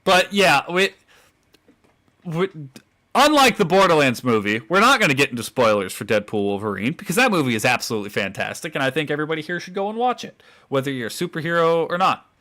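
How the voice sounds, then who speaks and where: A man in his twenties with a relatively deep voice, hosting a casual chat podcast and teasing his co-hosts with frequent laughter. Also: big pitch swings, fast talker, pushed and bright. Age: 20s. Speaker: podcast host.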